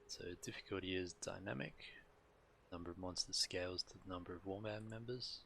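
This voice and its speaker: A man streaming a video game, reading on-screen text and commenting casually in a measured, subdued way. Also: sits low, vocal fry, a bit bright, a little hiss or hum.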